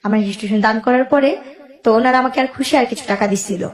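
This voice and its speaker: A woman's voice: fairly high pitch, fast talker, echoey room. A woman with a Bangladeshi accent tells her own life story as a guest, emotionally, quoting both sides of conversations as she goes.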